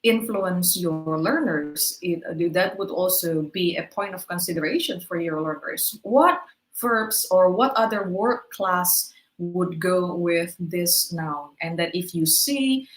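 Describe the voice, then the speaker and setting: A woman's voice: lowish voice, expressive, slow. Woman lecturing in an echoey room. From Indonesia. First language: Indonesian. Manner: explanatory, checks in with "right?". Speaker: webinar speaker. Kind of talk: online lecture to teachers.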